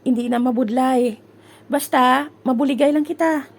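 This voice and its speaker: A woman's voice: high voice, moderate pace. A woman playing an affectionate, eager Filipino bride-to-be in a comic audio drama, chatty and reassuring.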